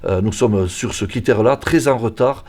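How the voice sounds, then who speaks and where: A man with a fairly low voice gives an explanatory presentation as a local official. He speaks in a measured way, pausing to search for his words as he lays out information. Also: darkish tone. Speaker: local official in charge of environment. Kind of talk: semi-prepared explanatory presentation.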